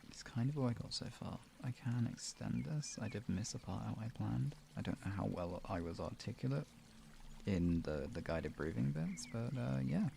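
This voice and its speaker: A man streaming guided relaxation and talking to viewers in a soothing, gentle, instructional manner. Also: fairly low pitch, animated, normal speed, gravelly, slight background noise.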